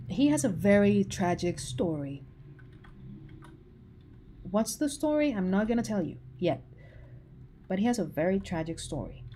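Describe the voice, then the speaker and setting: A woman's voice: medium pitch, dark tone, a little hiss or hum. A woman in her twenties chatting casually with her livestream viewers, teasing and full of filler words. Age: 20s. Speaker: animator streaming to chat.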